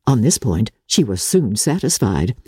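A woman narrating classic fiction in a theatrical, measured and deliberate literary style, with a husky voice. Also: rumbling low, very animated, mellow, no room sound, clean studio sound. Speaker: audiobook narrator.

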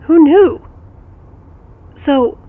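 A woman's voice: sits high, lively intonation, extremely slow, exaggerated voice. An actress playing a chatty, teasing woman friend in a naturalistic audio drama, heard through a radio or phone filter. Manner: playful, teasing. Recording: tinny, narrow-band radio sound.

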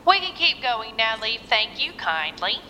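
A woman playing a gracious, devout Southern preacher's wife in an audio drama, speaking gently and formally. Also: a little high, big pitch swings, unhurried, long reverb tail, a little hiss or hum, full character voice. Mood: serenely devout. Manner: gentle, formal, scripture-quoting.

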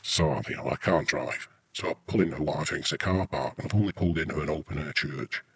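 Very deep, husky British man. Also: fast. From United Kingdom. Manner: earthy, rambling, sweary. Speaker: army veteran being interviewed. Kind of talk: casual anecdotal storytelling.

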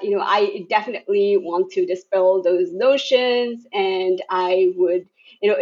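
A woman in her thirties, a podcast guest, speaks at a measured pace and keeps padding her answers with fillers and restarts. Her voice comes through a slightly dull, muffled recording. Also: medium pitch. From Bangladesh. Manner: hesitant, many fillers and restarts. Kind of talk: casual podcast interview.

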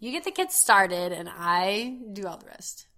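This is a woman in her thirties with a hoarse, scratchy voice, chatting loosely on a podcast. Her tone stays bright and forward even through the rasp. She laughs often and keeps things easygoing. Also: medium pitch, unhurried. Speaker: podcast co-host. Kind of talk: casual chat.

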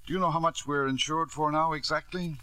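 An actor playing a scheming, reckless businessman and devoted father in 1950s radio drama, with a smooth, theatrical delivery. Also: a little high, steady tone, brisk, sharp tone, no room sound. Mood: coolly calm.

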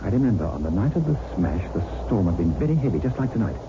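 Low, animated, dismissive husband. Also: male voice. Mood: reasoning, firm. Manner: brisk, reassuring, patronising. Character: rational, dismissive husband calming his frightened wife. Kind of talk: 1960s radio drama acting. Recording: muffled old radio recording, heavy storm noise.

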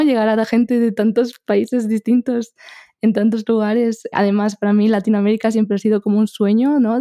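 Mellow-voiced woman from Spain. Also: mid-range voice, dry recording, studio quality. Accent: Spanish. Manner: soft-spoken, laughs often, frequent tag questions. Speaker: podcast guest, meditation podcaster. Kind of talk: casual interview chat.

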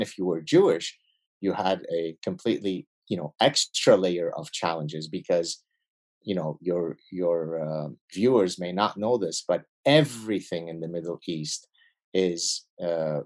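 A man who grew up in Lebanon with Arabic as his first language speaks in a low, deep register. He sits as a guest in an interview and argues with emphatic repetition, piling up lists and rephrasing as he goes. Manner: animated, emphatic lists and restarts. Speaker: guest, behavioral scientist. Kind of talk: podcast interview.

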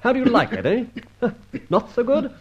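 A dull, lo-fi old radio recording of a gentleman vigilante. He is suave and menacing, with a husky edge and swooping, animated delivery. He gives clipped, commanding orders wrapped in mock politeness. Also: very high voice, a little slow, heavily acted. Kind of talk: old radio serial acting.

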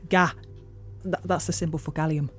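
An actress playing an excitable, talkative young woman who blurts and rambles, in naturalistic audio drama acting. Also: sits low, normal speed, faint music behind. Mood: excited.

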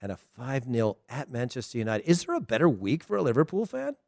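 A middle-aged man with a Southern American accent, hosting a casual soccer talk show, chatty and animated with frequent laughs. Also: medium pitch, brisk, creaky, dry recording, studio quality. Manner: chatty, animated, laughs often, loose restarts. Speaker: soccer podcast host. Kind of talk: casual solo commentary.

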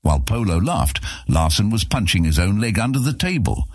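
A man reads a fantasy audiobook as its narrator. His voice is low and slightly rough, with animated rises and falls that give the scripted story drama. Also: fairly quick, dry recording, studio quality.

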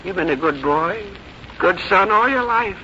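An actress playing a plain-spoken old country mother, folksy, wry and quietly determined, in 1950s radio drama style. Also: fairly low pitch, animated, measured pace. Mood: resigned, tender. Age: older. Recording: dull, noisy 1950s radio recording.

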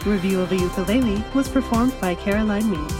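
A woman's voice: medium pitch, brisk, music in the background. A woman reading scripted podcast credits. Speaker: credits reader.

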